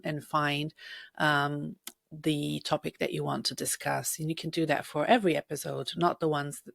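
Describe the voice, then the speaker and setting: A woman hosting a solo podcast as a career coach, talking chattily and straight to the listener, her voice husky from a recent illness. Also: sits low, dry recording, clean studio sound. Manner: chatty, personal, addresses listener directly.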